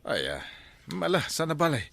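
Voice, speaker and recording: An Ilocano man acting a friendly neighbor in a comedy drama. His voice is gravelly with a lot of swing in the pitch. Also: wildly swooping pitch, moderate pace, fairly bright tone.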